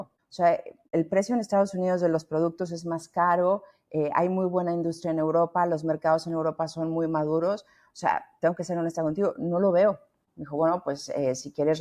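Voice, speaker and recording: A talkative middle-aged woman with a Mexican Spanish accent, speaking as a podcast guest. Her voice sits fairly low and sounds warm and mellow. She restarts her sentences often. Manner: lively, talkative, many restarts. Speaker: founder and guest. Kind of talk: podcast interview.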